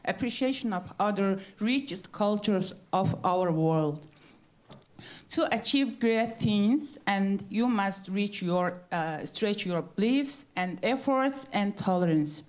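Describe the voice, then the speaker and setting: Slow, halting woman from Mongolia. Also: mid-range voice. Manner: slow and halting, searching for words. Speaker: student presenter. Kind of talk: short prepared speech at a university event.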